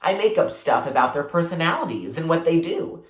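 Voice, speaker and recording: A reader as a shy, earnest, animated young girl. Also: mid-range voice, brisk, voice pushed up, natural voice. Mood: defensive, earnest. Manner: hesitant, earnest, explaining. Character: shy, imaginative sixth-grade girl. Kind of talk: children's storybook character read aloud. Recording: roomy, echoing sound.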